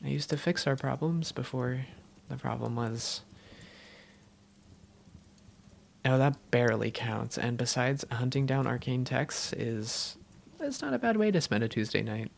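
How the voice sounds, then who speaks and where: A woman playing an affectionate, self-doubting vampire girlfriend in a cozy roleplay audio, speaking in an intimate, teasing and sometimes halting way. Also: rumbling low, expressive, creaky, slight background noise, full character voice. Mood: worried, tender. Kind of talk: cozy roleplay audio, one-sided conversation.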